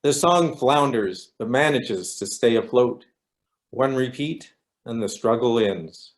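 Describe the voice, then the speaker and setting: A man recites poetry in a medium-pitched voice. His pace is slow, with the verse broken into phrases. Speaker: poet.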